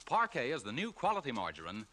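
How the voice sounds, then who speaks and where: A man's voice: medium pitch, huge pitch swings, fast, pushed and bright. An American man announcing a sponsor message on a 1940s radio show in a polished, emphatic salesman's delivery. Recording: old radio broadcast, music behind.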